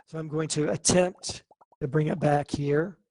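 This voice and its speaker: Fairly high-voiced male coding teacher. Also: measured pace, no echo. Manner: relaxed, thinking aloud with fillers. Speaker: coding class instructor. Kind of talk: live online class.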